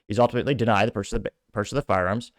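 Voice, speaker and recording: American man, lowish voice, casual rambling commentary host who wanders into asides; dry recording. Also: steady tone, very fast, no room sound. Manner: rambling, digressive, with offhand asides. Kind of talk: casual political commentary, reading out viewer comments.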